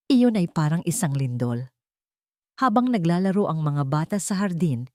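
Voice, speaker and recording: A woman narrates a dramatic story from a script. Her voice sits low and mellow, with very little room sound around it. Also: no echo, clean studio sound.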